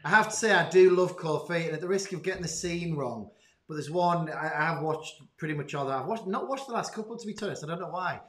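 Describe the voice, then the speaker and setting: A man hosting a casual interview, enthusiastic and informal, with halting phrasing. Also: on the high side, fairly bright tone, reverberant. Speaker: interviewer, school host.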